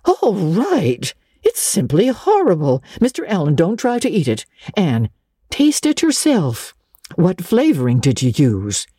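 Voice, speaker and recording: A woman's voice: fairly low pitch, huge pitch swings, unhurried, dark tone, husky, dry recording, clean studio sound, pitched above the performer's own voice, exaggerated voice. A woman reading aloud and voicing a stern, curt older spinster woman. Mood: exasperated. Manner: curt, sniffing, grim.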